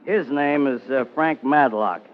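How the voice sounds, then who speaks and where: A tinny, narrow old radio crime drama. An actor plays a gruff American police detective at a middling pitch, matter-of-fact and curt in his slangy talk. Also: measured pace, close to an everyday voice. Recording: tinny, narrow-band vintage radio recording.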